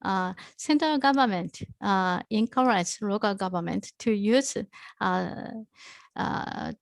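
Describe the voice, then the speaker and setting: A woman from Japan with a Japanese accent answering questions as a presenter at an online academic session, haltingly searching for words. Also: medium pitch, gravelly, no room sound. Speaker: presenter, researcher.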